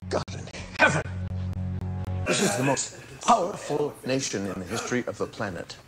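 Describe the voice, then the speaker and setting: An actor playing an intense, commanding man in an action film, forceful and outraged. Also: medium pitch, big pitch swings, normal speed, close to an everyday voice. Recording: film clip under loud music.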